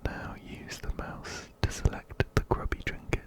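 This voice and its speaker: A man narrating a video game in a slow, breathy ASMR whisper, very close to a binaural mic, softly repeating words. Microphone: close-up binaural microphone, ear to ear.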